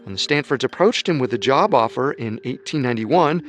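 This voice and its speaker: Male narrator, scripted, measured, even, medium pitch, faint music.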